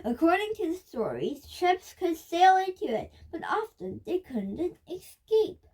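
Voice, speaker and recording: Little girl reading a book out loud, high voice, slow n careful, bit halting. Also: big pitch swings. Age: child. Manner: careful, halting read-aloud with occasional stumbles. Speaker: reader of a children's nonfiction book.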